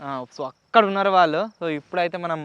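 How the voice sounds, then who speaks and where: Fast, high-pitched Telangana Telugu man. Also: fairly even intonation, clear, resonant tone, lo-fi sound. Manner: mixes English words into speech. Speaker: vlog host. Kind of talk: presenting a gadget test to camera. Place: outdoors in open area with trees.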